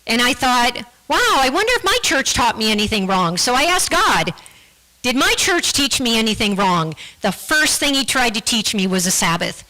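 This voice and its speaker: Church testimony, woman, bright and a bit high, earnest, stops and restarts often. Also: poor audio. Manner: earnest, with frequent restarts and repeated words. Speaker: guest speaker giving a testimony.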